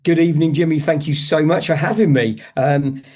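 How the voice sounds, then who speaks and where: A man's voice: a little high, fairly even intonation, a little fast, a little mellow. A man, a podcast guest telling stories with enthusiasm. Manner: enthusiastic, storytelling, calls host bud. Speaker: podcast guest, motorsport fan. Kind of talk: casual podcast chat.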